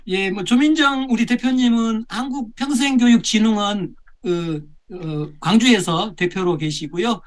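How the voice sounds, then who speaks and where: A man with a strikingly high voice hosts a video-call session. He speaks formally and politely, pausing on hesitant fillers. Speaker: session host.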